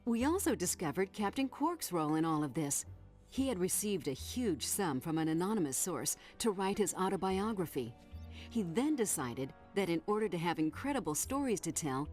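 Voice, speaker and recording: A woman voicing a composed, flirtatious intelligence agent in a video game, crisp and businesslike with coy teasing. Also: fairly low pitch, very animated, normal speed, a little mellow, faint music behind. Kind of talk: scripted video game cutscene acting, report delivery.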